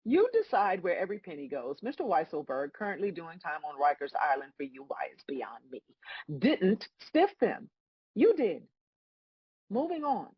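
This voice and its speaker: A woman plays God as a dry, scolding celestial judge in a comedic script read. Her husky voice swings widely in pitch, stern and full of sarcasm. The sound is close and dry but somewhat dull. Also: sing-song, normal speed, no echo. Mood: scolding, sarcastic. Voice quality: husky, raspy.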